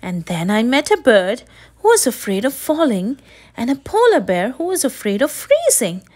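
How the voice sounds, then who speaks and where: Woman voicing a timid little boy turtle, high-pitched. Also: sing-song, normal speed, darkish tone. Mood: earnest. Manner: polite, pleading, earnest.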